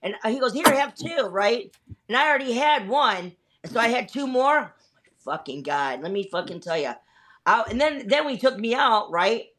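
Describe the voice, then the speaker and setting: A woman's voice: fairly high pitch, animated, normal speed, fairly bright tone, a little hiss or hum. Candid swearing woman telling stories. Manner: candid storytelling with frequent swearing; rambling, with many fillers. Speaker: host. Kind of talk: casual chat.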